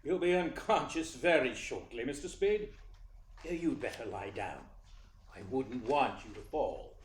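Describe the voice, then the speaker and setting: A man's voice: mid-range voice, lively intonation, measured pace, close to an everyday voice. A man playing a genteel, sly radio play villain with a mannered, mock-solicitous way of talking. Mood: mock concern. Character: genteel, sly male villain who drugs his guest. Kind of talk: 1940s-style radio play acting. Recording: roomy, echoey with faint noise.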